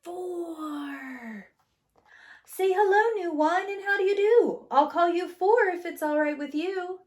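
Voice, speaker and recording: A woman reading a children's picture book aloud as a preschool teacher, with an expressive, theatrical, sing-song delivery. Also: extremely high pitch, takes it slowly.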